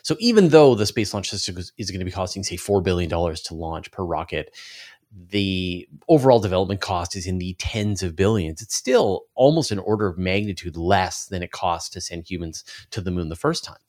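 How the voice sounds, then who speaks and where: A man giving explanatory answers as an interview guest on a podcast, halting as he thinks aloud. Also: deep voice, lively intonation, darkish tone. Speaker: interview guest, space commentator.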